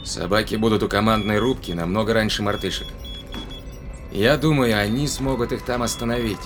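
A man's voice: on the low side, a little fast, music in the background, natural voice. An actor playing a decisive spaceship crewman who reasons aloud and gives orders in an audio drama. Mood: calculating, tense. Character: decisive male spaceship crewman planning a counterattack.

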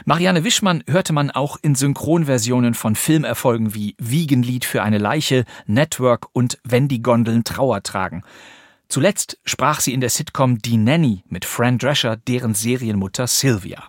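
A man's voice: medium pitch, moderate pace, no echo. Guy podcast host, mid voice, chatty scripted narration, close mic, dry studio.

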